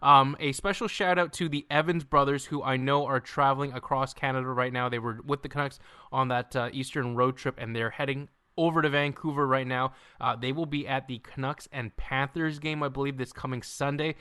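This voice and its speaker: Man, podcast co-host, bright tone, smooth clear voice, jokey banter. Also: medium pitch, steady tone, a little fast. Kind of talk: casual podcast chat. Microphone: remote call mic.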